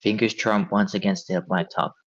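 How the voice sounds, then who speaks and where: A man's voice: fairly low pitch, fairly even intonation, moderate pace. A man narrating stage directions from a script at a table read. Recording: dull, lo-fi audio.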